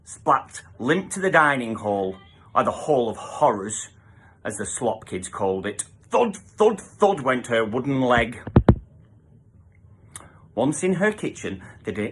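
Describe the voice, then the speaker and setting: A man's voice: medium pitch, very animated, measured pace, fairly bright tone, husky. A man reading a children's story aloud as a theatrical narrator, with emphatic sound-effect words. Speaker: storybook reader.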